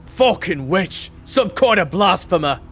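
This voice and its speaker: A man playing a gruff, hostile, distrustful working man in an audio roleplay, with a curt and blunt delivery. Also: high voice, sing-song, unhurried, fairly bright tone, pitched above the performer's own voice, full character voice. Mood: angry. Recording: background music.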